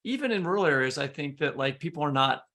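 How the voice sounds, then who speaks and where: A man's voice: a little high, lively intonation, fast talker, sharp tone. A man hosting a podcast, talking politics casually and thinking aloud in an informal, hesitant way. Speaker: podcast host.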